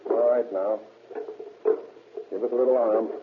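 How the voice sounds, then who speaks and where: An actor playing a cocky, greedy safecracker in an old-time radio crime drama, bossy and slangy. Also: male voice, mid-range voice, fairly even intonation, a little slow, lightly acted. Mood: bossy, gloating. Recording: tinny, noisy old radio recording.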